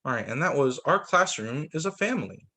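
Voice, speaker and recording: A man reading picture books aloud to young students as a teacher, expressive, adding his own off-the-cuff remarks. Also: fairly high pitch, a little fast.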